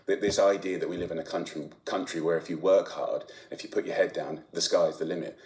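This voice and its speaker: A man talking informally as a commentator, halting and repeating words as he goes. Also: deep voice, steady tone, a little mellow. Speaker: speaker on food poverty. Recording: roomy, echoing sound.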